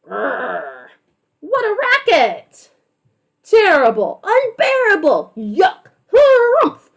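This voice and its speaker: A woman acts out indignant storybook swamp neighbors, high and swooping. Each complaint is a short, exaggerated outburst, full of grumpy disgust. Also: wildly swooping pitch, drawn-out words, gravelly, exaggerated voice.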